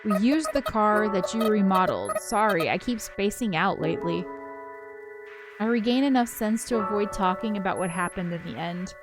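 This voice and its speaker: A woman's voice: mid-range voice, lively intonation, normal speed, over music, close to an everyday voice. A woman reading aloud as an anxious, introspective young ghost woman, hesitant and reflective, in visual novel narration. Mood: apologetic, reflective.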